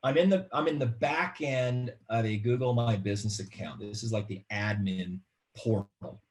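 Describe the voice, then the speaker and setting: A man's voice: lowish voice, a little mellow. Casual middle-aged American man explaining things. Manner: casual, halting, repeats words. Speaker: webinar guest presenter, marketing expert. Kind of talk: informal explanatory webinar talk. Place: home room, indoors.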